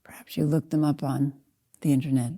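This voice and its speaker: An older woman, a meditation teacher, leading a guided meditation in a measured, deliberate way, in short phrases with pauses. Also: deep voice, flat delivery, dark tone. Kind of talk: slow guided meditation narration.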